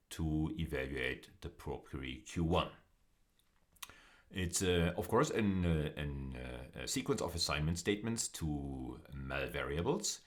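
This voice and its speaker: A man with an extremely deep voice delivers a university video lecture. He explains step by step in a deliberate, somewhat halting manner, pausing and leaning on key words.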